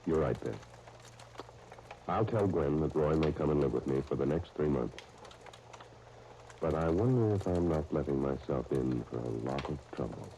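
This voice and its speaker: A man's voice: extremely low pitch, moderate pace, long pauses, dark tone. An American man acting an earnest, hesitant leading man in a 1930s radio soap opera. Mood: troubled. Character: John, an earnest, hesitant man wary of trouble. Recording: noisy 1930s radio disc recording.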